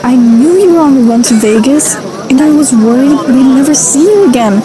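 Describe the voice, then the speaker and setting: A woman's voice: sits high, lively intonation, moderate pace, noisy background. An actress playing an anxious, loving mother in an audio drama. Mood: apologetic, relieved.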